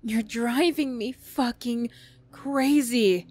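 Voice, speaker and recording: A woman voicing a wounded, bitter young bully girl, defensive and vulnerable, in a halting ASMR roleplay style. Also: on the high side, darkish tone, pitched above the performer's own voice. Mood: angry, flustered. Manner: halting, swearing, emotional, slow delivery.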